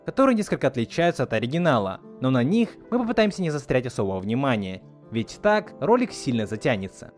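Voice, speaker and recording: A man's voice: mid-range voice, darkish tone. A man hosting a video series, reading a scripted video essay. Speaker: host of a video series on film dubbing.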